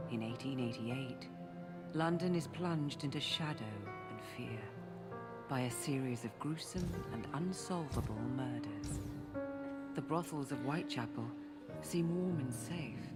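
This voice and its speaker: A woman acting as a grave, ominous narrator of a Victorian murder tale in a video game, speaking in a measured way. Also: extremely low pitch, full character voice. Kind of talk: scripted dramatic game narration. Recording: loud dramatic music behind.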